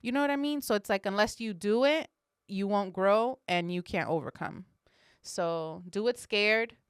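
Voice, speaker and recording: A woman's voice: mid-range voice, normal speed, clean tone, no room sound, clean studio sound. A woman co-hosting a podcast, speaking in a direct, encouraging way. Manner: encouraging and direct, with frequent affirming murmurs. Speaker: podcast co-host. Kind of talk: casual podcast conversation.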